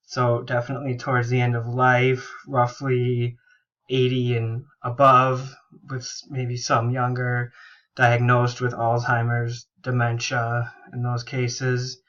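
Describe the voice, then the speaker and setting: A young man, a music therapy student, gives a casual class presentation while recovering from a bad cold, often halting and restarting his sentences. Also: mid-range voice, monotone, a bit bright. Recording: dull lo-fi recording.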